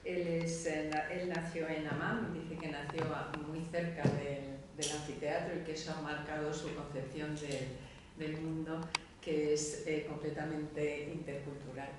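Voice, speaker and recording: A man moderating a round-table panel, introducing the next guest in a measured, hesitant way with fillers. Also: a little high, level tone, heavy background noise. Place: lecture room. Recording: echoey hall, distant mic.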